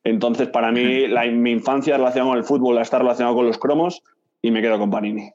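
A man in his thirties from Madrid, a guest telling his career story in a relaxed, chatty way, often tagging sentences with a question. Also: medium pitch, quick pace, lo-fi sound. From Madrid, Spain. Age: 30s. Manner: relaxed, chatty, tags lines with "¿no?". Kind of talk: casual interview talk.

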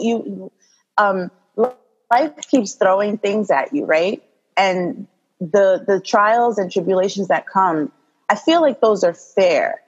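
A woman in her thirties from Congo talks as a guest on a casual podcast, calm and direct, and she laughs often. Her voice is slightly husky. The recording sounds muffled and lo-fi. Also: mid-range voice. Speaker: guest, doula.

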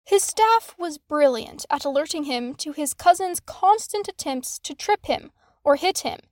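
High-voiced woman narrating a story. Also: lively intonation, unhurried, a little mellow, no room sound, clean studio sound. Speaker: audiobook narrator. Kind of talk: scripted fan fiction narration.

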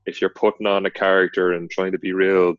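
A young man with a nasal voice, co-hosting a podcast in an understated, low-key way. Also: a little fast, no echo. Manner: low-key, not very expressive. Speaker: podcast co-host. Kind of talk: casual chat. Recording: dull, lo-fi, dry sound.

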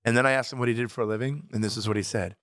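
A man's voice: mid-range voice, fairly even intonation, racing, a bit bright, dry recording, studio quality. A man co-hosting a podcast, a stand-up comic who tells brash, profane, teasing stories in casual banter.

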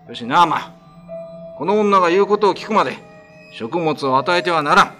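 A man's voice: a little high, lively intonation, moderate pace, sharp tone, soft background music, pitched above the performer's own voice. A man playing a cruel, haughty island lord in a radio drama, threatening and commanding in archaic lordly speech. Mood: furious, imperious.

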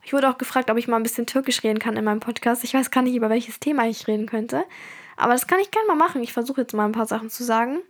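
Chatty teenage girl, fairly high voice. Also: rapid-fire. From Germany. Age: teens. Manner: chatty, rambling, frequent fillers. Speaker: podcast host. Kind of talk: casual personal podcast chat.

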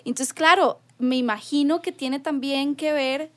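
High-voiced curious woman. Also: measured pace. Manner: curious, hesitant questioning. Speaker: panelist asking questions. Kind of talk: casual panel chat.

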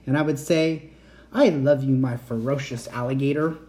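A man doing a soft, doting mother. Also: medium pitch, steady tone, normal speed, lightly acted. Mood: tender. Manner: soothing, tender reassurance.

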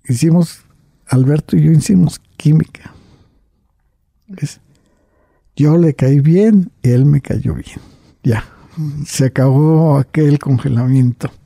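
A man's voice: fairly high pitch, vocal fry, mellow, husky, no room sound, clean studio sound. An older man recounting personal memories in conversation, often adding "right?" and "you see?" and restarting his phrases.

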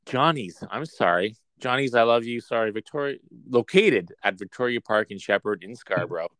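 A man's voice: mid-range voice, animated, normal speed, a bit bright. A man co-hosting a casual podcast chat, joking around and slipping into a mock commercial-announcer voice. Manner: playful, slips into a mock ad-announcer voice. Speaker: podcast co-host.

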